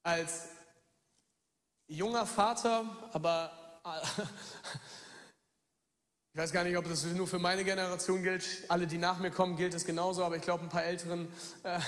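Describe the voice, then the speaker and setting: A man in his thirties from Germany preaches in a raised, high voice. The sound rings through a church hall with a long reverb. He turns from loud, pressing calls to soft near-whispers and speaks straight to his listeners. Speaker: pastor. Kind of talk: lively sermon with personal stories.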